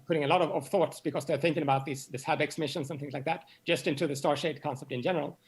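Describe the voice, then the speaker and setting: A man speaking as an expert interview guest, explaining in a halting way and often repeating words as he searches for phrasing. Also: fairly high pitch, fairly even intonation. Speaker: interview guest, astronomy professor.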